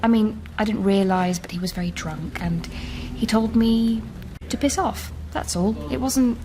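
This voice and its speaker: A woman's voice: medium pitch, natural voice. A woman playing a chatty, laughing Londoner. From Leeds and Bristol. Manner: chatty, quick to laugh, rambling. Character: chatty woman living in London, warm and talkative. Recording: radio drama with background noise.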